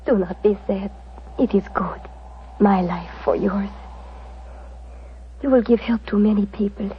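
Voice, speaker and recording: An actress playing a selfless, dying Romany fortune-teller, speaking slowly and solemnly in a failing, breathy voice in a 1940s radio drama. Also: female voice, mid-range voice, lightly acted. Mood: tender, fading. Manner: slow, solemn, tender delivery. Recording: muffled, noisy 1940s radio recording.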